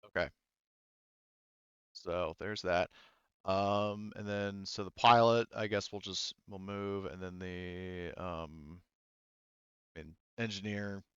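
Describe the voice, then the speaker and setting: Low, smooth-voiced man thinking aloud. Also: steady tone, slow pace, long pauses, fairly bright tone, dry recording, muffled recording. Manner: hesitant, thinking aloud, explanatory. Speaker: game master. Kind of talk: casual tabletop game running.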